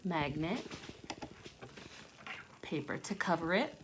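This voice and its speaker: A woman's voice: fairly low pitch, lively intonation, slow. A woman giving a hands-on read-aloud lesson for young children, explaining simply and step by step as she thinks aloud. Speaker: children's teacher reading aloud and demonstrating. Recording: noticeable background noise, homemade video.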